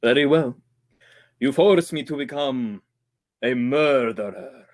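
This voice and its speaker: A man's voice: medium pitch, slow pace, natural voice. A man acting in an old-time radio drama as a hapless, grumbling actor, stilted when he plays a murderer. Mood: stiff menace.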